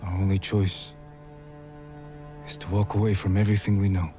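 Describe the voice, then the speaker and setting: An actor playing a stoic, resolute samurai in a video game, speaking in a measured, grave, commanding way. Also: male voice, sits low, flat delivery, natural voice. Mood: grave, steady. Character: stoic, resolute samurai man leading a town's defence. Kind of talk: dramatic game cutscene dialogue. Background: music.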